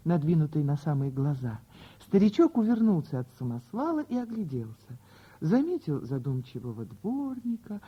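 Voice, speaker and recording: A narrator reading a literary tale for a radio play in an expressive, dramatic way with deliberate pauses. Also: medium pitch, slightly rough, muffled recording. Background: music.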